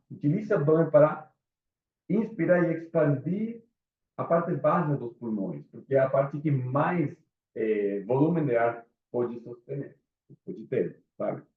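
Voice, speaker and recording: A man from Chile with a Chilean Spanish accent, speaking Portuguese as an instructor, giving a casual live lecture and asking his small audience questions. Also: a little high. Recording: echoey room, phone-like sound.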